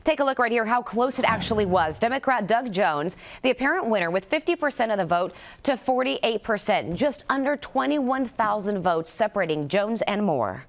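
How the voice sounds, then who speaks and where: A woman's voice: fairly high pitch, animated, normal speed, hardly any pauses. A woman with an American accent reading scripted television news as an anchor.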